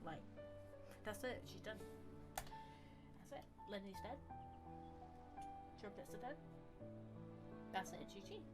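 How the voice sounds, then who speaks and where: A woman's voice: mid-range voice, animated, slow pace, long pauses. A woman giving casual, jokey gameplay commentary, talking back to the characters as she plays. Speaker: streamer playing a game. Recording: loud game music behind.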